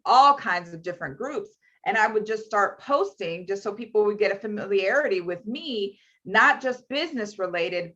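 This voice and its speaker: A woman's voice: mid-range voice, a bit bright. Chatty woman on a muffled call. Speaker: financial services agent. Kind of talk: sharing experience on a video call.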